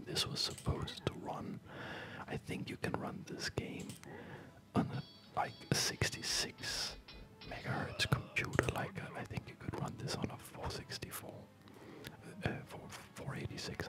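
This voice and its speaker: A man doing relaxed, chatty ASMR game commentary in a soft, breathy whisper. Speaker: host of an ASMR gaming channel. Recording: background game music. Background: loud game music.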